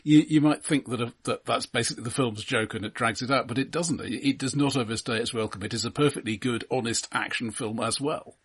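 A man casually analysing films as a podcast co-host, measured and wry, often qualifying and correcting himself as he goes. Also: medium pitch, breathy edge. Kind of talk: casual analytical film discussion.

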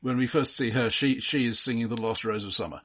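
A man co-hosting a film podcast, casually explaining his observations with halting, repeated starts. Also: medium pitch, steady tone, fairly bright tone.

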